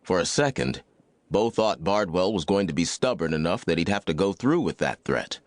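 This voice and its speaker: Low, expressive American male narrator. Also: moderate pace, no room sound. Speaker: audiobook narrator. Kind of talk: scripted Western story narration.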